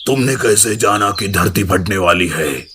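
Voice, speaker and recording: A man voicing a stern, commanding lion king who scolds with authority in an animated fable. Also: fairly low pitch, a little slow, slight background noise. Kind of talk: Hindi cartoon moral story dialogue.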